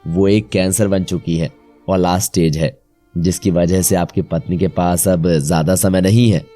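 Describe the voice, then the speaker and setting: A man voicing a grave, measured doctor, serious and matter-of-fact, in a dramatised story. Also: deep voice, steady tone, dark tone, faint music behind, natural voice. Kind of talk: dramatised Hindi moral story acting.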